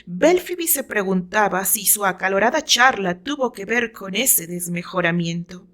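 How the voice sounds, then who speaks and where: A woman's voice: medium pitch, animated, moderate pace, a bit bright. A woman with a Mexican accent, an author narrating her own story in a dramatic scripted style.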